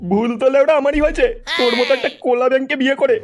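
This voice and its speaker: A very high, squeaky cartoon village dad, talking fast over clear music. He is a crude, blustering middle-aged husband who scolds, laments and coaxes in exclamations. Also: steady tone. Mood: scornful, exasperated. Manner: exclamatory, scolding then coaxing, drawn-out lamenting. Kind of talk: comedy cartoon dialogue. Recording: music behind the voice.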